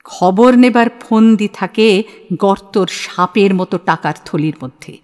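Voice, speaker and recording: A woman's voice: medium pitch, a little slow, darkish tone, natural voice. A woman playing a stern, shrewd middle-aged mother in an audio play, cutting and authoritative. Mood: commanding, scornful. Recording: long hall-like reverb.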